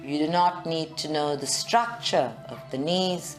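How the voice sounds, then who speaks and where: A woman guiding a live meditation in a measured, soothing way, with long pauses. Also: low voice, faint music behind, rough recording. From South Africa. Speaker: meditation guide. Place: group meditation session at a centre, recorded live.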